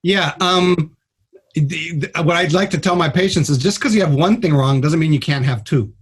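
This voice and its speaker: A man's voice: a little high, moderate pace, slightly rough. A man speaking as a guest doctor in a radio interview, explaining things in a plain-spoken, blunt and informal way. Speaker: trauma surgeon, guest doctor.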